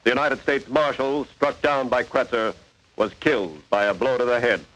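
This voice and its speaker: A man playing a stern, authoritative narrator of a 1940s radio crime drama, with emphatic, deliberate phrasing. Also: medium pitch, fairly bright tone, natural voice, poor audio. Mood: grave. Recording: vintage 1940s radio broadcast.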